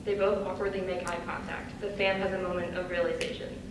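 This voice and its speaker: A woman's voice: on the low side, flat delivery, brisk. A woman reading scripted stage directions as a narrator in a live reading. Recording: distant echoey hall, clear background noise.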